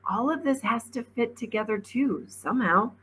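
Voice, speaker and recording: A woman's voice: fairly high pitch, lively intonation, moderate pace, fairly bright tone, slight background noise, pitched above the performer's own voice. A woman voicing a thoughtful, wary, slightly awkward girl in a storybook, reasoning aloud with some hesitation.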